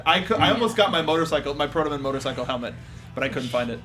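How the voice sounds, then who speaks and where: A man with a high-pitched voice co-hosts a casual film review show. He talks in a jokey, halting way and often interrupts himself. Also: expressive. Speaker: host.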